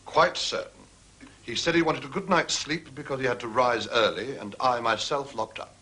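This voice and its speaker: An actor playing a self-important, discreet butler in a period detective drama, formal and condescending in manner. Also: male voice, medium pitch, moderate pace, pushed and bright, close to an everyday voice. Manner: formal, pompous, deferential to authority.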